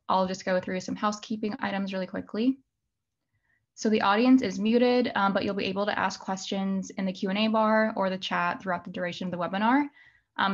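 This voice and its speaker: A woman moderating a webinar, briskly running through housekeeping items with "um" fillers. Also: mid-range voice, dull audio. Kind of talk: housekeeping announcements.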